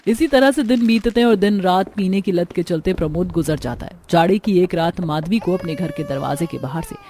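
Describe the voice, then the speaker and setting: Mellow woman narrating a cartoon story. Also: on the low side, a little fast, slight background noise. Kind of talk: scripted dramatic storytelling for a cartoon. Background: background music.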